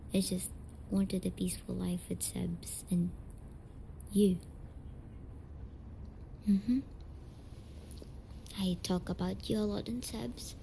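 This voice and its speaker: A woman's voice: medium pitch, creaky, dark tone. A woman playing a tough but tender tsundere delinquent young woman in an intimate audio roleplay, with slangy speech. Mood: tender, vulnerable. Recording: noisy home recording, audible background noise.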